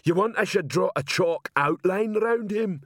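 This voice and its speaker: A man voicing a big, slow troll police sergeant in an audiobook, blunt, literal and eager to please. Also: high voice, animated, no echo, studio quality, pitched above the performer's own voice. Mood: helpful.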